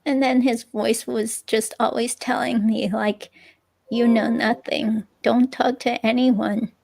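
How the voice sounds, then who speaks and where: A woman plays a hurt ex-girlfriend answering police questions in a dramatic reading. Her voice sits a little high and creaks often. She talks slowly, rambling and hesitating as she goes. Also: little pitch movement, a little mellow, heavily acted. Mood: conflicted, unsure. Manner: hesitant, rambling, filler-laden. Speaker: reader in a dramatic reading of an interview transcript. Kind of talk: conversational dramatic reading of a transcript.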